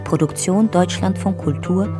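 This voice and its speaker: Announcer for radio drama credits: woman with a low register, factual measured read over a music bed.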